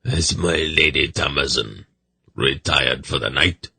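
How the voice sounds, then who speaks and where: A man voicing a grim, formal old seneschal with a rasping voice and stern, terse speech in a fantasy audiobook. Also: very deep voice, measured pace, sharp tone, no room sound, exaggerated voice. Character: grim, bleak old military seneschal, heavy-shouldered man.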